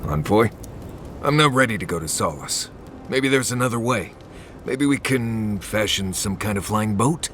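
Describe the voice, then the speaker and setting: An actor playing a troubled, vulnerable adventurer, halting and hesitant, in a video game. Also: male voice, lowish voice, animated, background noise, natural voice. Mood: anxious, subdued. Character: anxious, troubled male adventurer, reluctant, vulnerable. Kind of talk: naturalistic video game acting.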